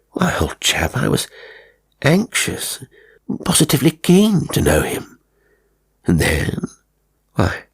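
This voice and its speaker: Casting a man as an earnest, baffled British gentleman for an audiobook: slow, halting, old-fashioned, with swooping emphasis. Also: lowish voice, gravelly, breathy edge, pitched above the performer's own voice, exaggerated voice.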